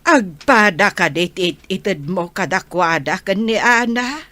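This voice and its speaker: A woman's voice: medium pitch, animated, takes it slowly, bright, forceful tone, dry recording. A woman playing an older, skeptical grandmother who admonishes and advises in an amateur audio drama. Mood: skeptical, advising.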